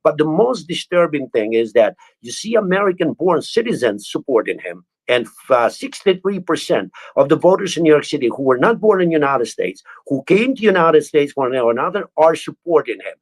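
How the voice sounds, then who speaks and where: A man's voice: a little high, poor audio. A middle-aged man from Albania with an Albanian accent, speaking earnestly as an interview guest and often restarting words. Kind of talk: interview answers.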